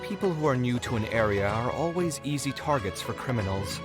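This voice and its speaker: An actor playing a principled, scholarly young architect in a video game, articulate and reflective in an emotive way. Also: male voice, mid-range voice, lively intonation, brisk, over music, natural voice. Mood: sighing, philosophical. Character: principled young male architect, scholarly, expressive, too trusting.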